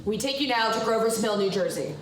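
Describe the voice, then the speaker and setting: A woman playing a formal 1930s radio news announcer, reading bulletins in a measured, scripted way. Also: a little high, over loud music, close to an everyday voice. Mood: formal, urgent. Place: live audience performance in a hall. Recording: very echoey hall, distant mic, noisy.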